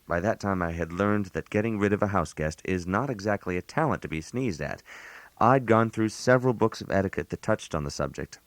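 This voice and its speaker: A put-upon, fussy host delivers a comic radio monologue in a low, close and dry voice. He is precise and mock-formal, handing out genteel etiquette advice with dry wit. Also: no room sound. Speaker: narrator. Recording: analog radio aircheck.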